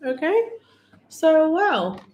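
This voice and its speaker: A woman with a Thai accent hosting a beauty livestream, chatting casually as she demonstrates products and pitches discounts. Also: very animated, drawn-out words, a little mellow. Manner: chatty, keeps repeating "right here", upbeat sales talk. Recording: echoey room.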